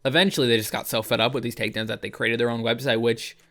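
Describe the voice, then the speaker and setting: A man's voice: mid-range voice, steady tone, very fast, fairly bright tone. A man hosting a casual video essay, talking in a sardonic, joking way and swearing freely.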